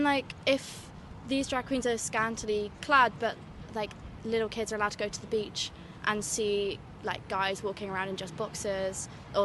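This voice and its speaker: A woman answering a street interviewer, reasoning earnestly with many fillers. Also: medium pitch. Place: city street outdoors. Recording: street interview with clear traffic noise. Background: traffic.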